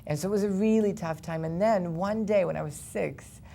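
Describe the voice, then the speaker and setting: A young man from Ukraine, earnestly telling his personal story as an interview guest, with quick animated bursts. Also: high voice, vocal fry, a little hiss or hum. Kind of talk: personal testimony in a studio interview.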